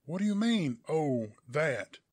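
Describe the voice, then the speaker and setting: A man voicing a plucky, wry male superhero with a gravelly, husky voice in an audiobook. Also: on the high side, very animated, takes it slowly, very noisy. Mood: baffled, wry.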